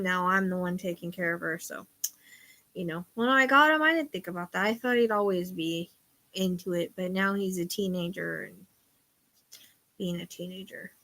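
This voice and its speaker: Rambling, confiding middle-aged woman. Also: lowish voice. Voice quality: loud voice. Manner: rambling, confiding, trails off quietly. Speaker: psychic livestream host. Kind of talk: casual chat.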